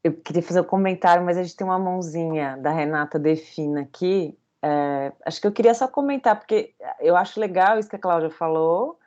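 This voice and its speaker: A woman moderating an online panel, quick and friendly, laughing between phrases. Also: fairly low pitch, darkish tone, dull audio. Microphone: video call.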